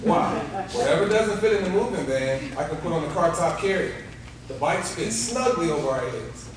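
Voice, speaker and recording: An actor playing a brisk, upbeat 1950s family father in a live radio play. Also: a little high, expressive, lightly acted. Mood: upbeat, proud. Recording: echoey theater, distant mic, clear noise.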